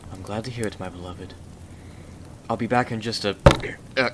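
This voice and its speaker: Guy playing a sweet earnest victorian husband, lowish voice, asmr roleplay, rain and clear noise behind him. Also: moderate pace, natural voice. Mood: affectionate. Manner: earnest, courteous, tender. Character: gentle, devoted young Victorian gentleman husband.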